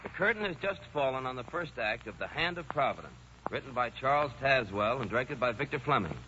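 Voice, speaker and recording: A man's voice: medium pitch, lively intonation, fast talker, pushed and bright, slight background noise. A man formally introducing a radio play in 1940s announcer style. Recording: dull old radio recording. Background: music.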